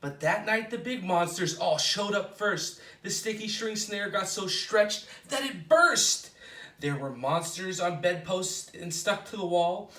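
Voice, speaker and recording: Bright-voiced man reading to kids, roomy echo. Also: high voice. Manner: expressive, playful delivery with drawn-out dramatic words. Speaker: children's storytime host at a library. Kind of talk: animated picture-book read-aloud for children. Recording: roomy echo, distant microphone.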